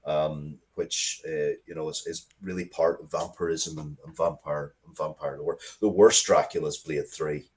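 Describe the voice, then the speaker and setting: A man speaking casually as an interview guest, thoughtful and halting, often repeating words as he works out his point. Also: very deep voice, fairly even intonation, darkish tone. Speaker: interview guest, writer of Dracula comics and media.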